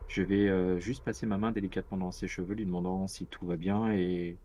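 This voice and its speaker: Very deep-voiced man narrating his moves. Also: flat delivery, fairly quick. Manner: hesitant, soft-spoken. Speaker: player. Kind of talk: describing his character's actions.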